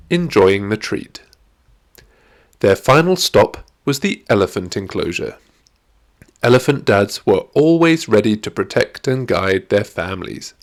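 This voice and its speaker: A man reading a scripted children's story as an audiobook narrator. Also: fairly low pitch.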